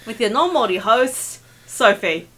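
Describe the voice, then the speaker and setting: A woman with a New Zealand accent co-hosting a podcast, playful and teasing with deadpan jokes. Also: a little high, moderate pace, heavy background noise. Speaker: podcast co-host. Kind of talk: casual podcast banter.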